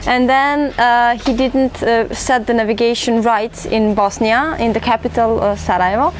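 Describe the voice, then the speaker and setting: Higher-voiced woman telling a story. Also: fairly even intonation, moderate pace, poor audio. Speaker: youth group leader. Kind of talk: storytelling in a documentary interview. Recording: faint background noise.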